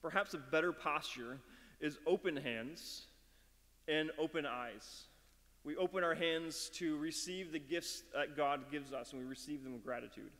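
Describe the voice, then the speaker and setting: A middle-aged man speaks slowly and earnestly from a lectern, his voice sitting a bit high for a man. He stops now and then with gentle fillers as he leads a prayer. Long reverb from a big hall trails each phrase. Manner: measured, earnest, halting with fillers. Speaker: school chapel leader. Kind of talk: chapel talk and spoken prayer. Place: dark auditorium stage at a lectern. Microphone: mic on the lectern.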